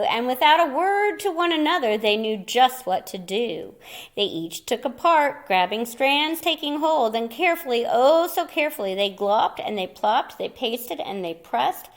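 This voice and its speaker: A woman reading a children's picture book aloud in a theatrical, playful way, with dramatic pauses and little asides for young listeners. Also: high voice, animated. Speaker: storyteller.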